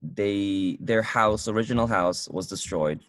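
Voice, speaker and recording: A man casually reviewing a film with a friend, talking in halting bursts with many restarts. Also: lowish voice, a bit bright, no room sound. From United States. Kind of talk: casual movie review chat. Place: car.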